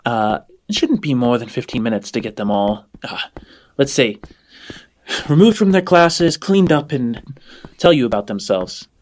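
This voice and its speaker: A man's voice: medium pitch, lively intonation, unhurried, natural voice. A man voicing a polite, accommodating woman who runs an orphanage in a tabletop game. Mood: businesslike, agreeable.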